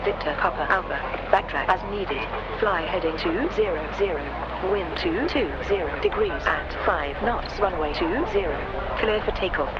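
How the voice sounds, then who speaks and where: A man voicing a brisk, official air traffic controller in a simulator game, giving clipped, formal instructions over the radio. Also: high-pitched. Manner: clipped, procedural. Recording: dull radio channel, loud engine noise.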